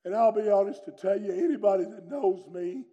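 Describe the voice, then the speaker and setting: A middle-aged man, preaching a sermon with personal testimony in a loud, booming, strong delivery. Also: fairly quick, lo-fi sound. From North Carolina, USA. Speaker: pastor.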